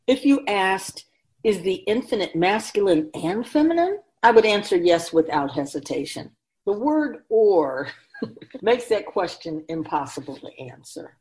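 A woman voicing a wise, ancient spirit who keeps souls, teaching patiently and deliberately in a scripted radio drama. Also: medium pitch, big pitch swings, a little mellow, rough recording. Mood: patient, explaining. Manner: measured, deliberate, didactic, solemn.

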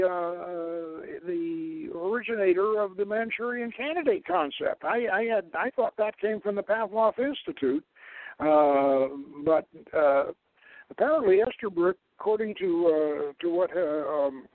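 A tinny, phone-quality radio recording of a man with a slightly high-pitched voice. He lectures as a talk show host, in a halting, deliberate manner, with a dry sound free of room echo. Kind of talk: talk radio lecture, partly read aloud.

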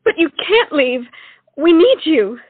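A woman's voice: extremely high pitch, very animated, unhurried, dry recording, exaggerated voice. A woman playing an earnest, hesitant young colonial lady, pleading in a romantic audio drama. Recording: muffled lo-fi audio, music underneath.

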